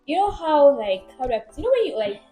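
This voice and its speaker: Young female podcast host, Nigerian accent, high voice with swooping, expressive delivery. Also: fast, faint music behind. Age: young adult. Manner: animated, expressive. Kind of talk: casual chat, personal anecdotes.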